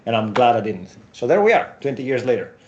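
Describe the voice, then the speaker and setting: A man in his forties whose first language is Greek, a guest telling his career story in a casual interview with animated asides. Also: medium pitch, fast talker, lo-fi sound, slight background noise. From Europe.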